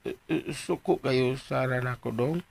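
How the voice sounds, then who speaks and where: Comic sidekick: Cebuano accent, gravelly, dry sound, whiny and hesitant, radio drama style. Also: male voice, mid-range voice, measured pace, no echo. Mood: pained, sheepish. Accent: Filipino, Cebuano. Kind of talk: Philippine radio drama acting.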